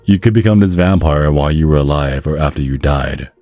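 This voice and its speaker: A man reading scripted ASMR narration in a measured, storytelling manner. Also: extremely low pitch, mellow, no echo, soft background music.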